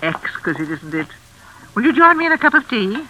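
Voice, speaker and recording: Sinister older lady, sharp and swooping. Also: medium pitch, big pitch swings, brisk. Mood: politely controlling. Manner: genteel, coaxing, controlling. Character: sinister, falsely kind older widow, possessive and unhinged. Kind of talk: old-time radio thriller acting. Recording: noisy vintage radio recording.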